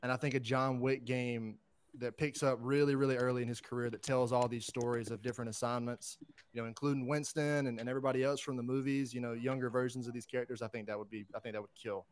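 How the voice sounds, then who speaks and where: A man talking informally and conversationally as a podcast panelist, pitching his picks in a casual, enthusiastic pop-culture chat. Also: level tone, fast talker.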